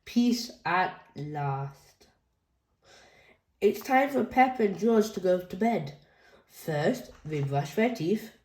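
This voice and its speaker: A woman reading a children's picture book aloud in a slow, gentle storytelling manner. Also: mid-range voice, lively intonation, slight background noise. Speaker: storybook reader. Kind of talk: children's read-aloud narration. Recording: echoey room.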